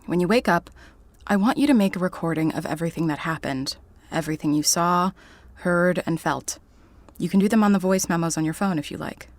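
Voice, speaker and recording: A woman playing a calm therapist in an audio drama, talking in a measured, reassuring way. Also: lowish voice, no room sound, lightly acted.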